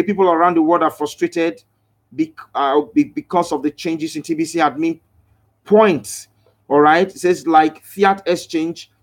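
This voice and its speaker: A man hosting a motivational live broadcast, preaching with emphatic repeated phrases and stopping to read out and answer viewers' comments. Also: a little high. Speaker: live broadcaster promoting a crypto scheme.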